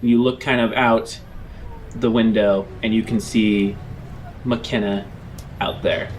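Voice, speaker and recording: Slow, low-voiced man narrating. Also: steady tone, background noise. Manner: deliberate, pausing often between phrases. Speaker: game master. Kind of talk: narrating a tabletop game.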